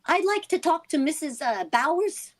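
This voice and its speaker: A woman's voice: high voice, animated, moderate pace. A woman playing a concerned, persistent housewife in a vintage-style radio play, earnest and inquisitive. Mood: worried, insistent. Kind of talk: vintage radio drama acting.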